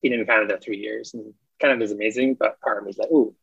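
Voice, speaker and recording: A man's voice: lowish voice, fairly even intonation, quick pace, vocal fry, fairly bright tone, breathy edge, no echo. A man in his thirties from Scotland, with a mild mixed Scottish accent, chatting casually as an interview guest and laughing often. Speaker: guest, ecologist. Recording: dull lo-fi dry audio.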